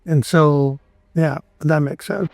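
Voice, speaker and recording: A man's voice: a little high, takes it slowly, vocal fry, a little mellow, no room sound. A man in his thirties from Miami, talking loosely as an interview guest in a café, full of "you know"s. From Miami, USA. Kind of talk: casual interview.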